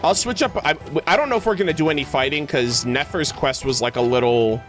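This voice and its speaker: A man's voice: on the high side, a little fast. A man livestreaming a game playthrough, reacting casually with exclamations and reading dialogue aloud with some stumbles. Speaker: game streamer. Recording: game music behind the voice. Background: game music.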